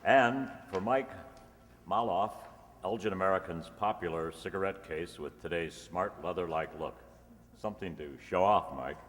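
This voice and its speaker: Man as a deep-voiced old-time radio announcer. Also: moderate pace, fairly bright tone. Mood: upbeat, polished. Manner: formal, salesy. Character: polished, upbeat male radio show announcer and pitchman. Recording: reverberant hall recording.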